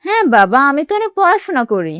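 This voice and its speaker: A woman's voice: high voice, very animated, fast talker, a bit bright, no echo, clean studio sound, voice pushed up. A woman voicing a restless, fibbing young schoolgirl in a moral cartoon. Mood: falsely assuring.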